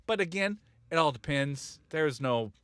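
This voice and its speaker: A man's voice: a little high, lively intonation, measured pace, a bit bright, clear, resonant tone, no room sound. A man talking shop as a music producer in a casual studio chat, blunt and rambling, with frequent chuckles.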